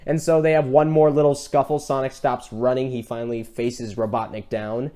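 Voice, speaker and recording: A man's voice: mid-range voice, level tone, moderate pace, warm tone. A man co-hosting a casual film chat, talking in a rambling, enthusiastic fan's way. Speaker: podcast co-host.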